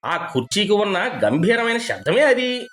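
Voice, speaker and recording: A man acts out a pompous village patriarch for a cartoon moral story. He is status-anxious and boastful, with a widely swinging, blustering delivery over soft music. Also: high voice, very animated, normal speed. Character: boastful, status-anxious middle-aged village husband and father. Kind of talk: animated moral story dialogue.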